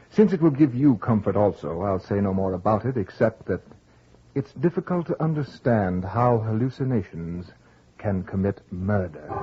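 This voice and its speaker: An actor playing a young painter husband who tells his tale in literary, measured phrases in an old radio drama. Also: lowish voice, slight background noise. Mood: ominous. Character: young painter husband, wistful storyteller, tender and teasing. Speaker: actor narrating in character. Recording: dull vintage radio broadcast with music.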